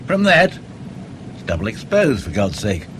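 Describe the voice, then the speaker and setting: Sardonic, wary spy, lively delivery. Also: male voice, lowish voice, measured pace, background noise. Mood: bitter, impatient. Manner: sceptical, needling. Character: sardonic, wary male field agent, long resentful. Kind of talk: naturalistic radio drama dialogue.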